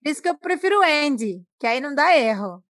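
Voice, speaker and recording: A woman's voice: sits high, very animated, fast talker, fairly bright tone, no echo, studio quality. A Brazilian woman in a casual conversation, chatty and explaining things through examples. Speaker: talk participant. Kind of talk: casual chat.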